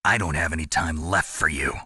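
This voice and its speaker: An actor playing a gruff, weary special-ops soldier in a video game, terse, deadpan and gravelly. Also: male voice, very deep voice, brisk, no echo, full character voice. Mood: suspicious, stern. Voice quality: gravelly, husky. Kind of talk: dramatic video game voice acting.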